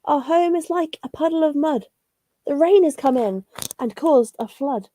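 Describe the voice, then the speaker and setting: A woman's voice: sits high, lively intonation, moderate pace, warm tone, pitched above the performer's own voice. A woman voicing small, polite, homeless worms in a children's storybook, with sing-song pleading. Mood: politely pleading.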